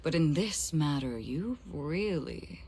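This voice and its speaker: Low-voiced, commanding woman boss. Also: lively intonation, slow pace, vocal fry, dark tone, slight background noise, heavily acted. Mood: pleased, knowing. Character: composed, commanding woman authority chief, shrewd and teasing. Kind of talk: dramatic game cutscene acting.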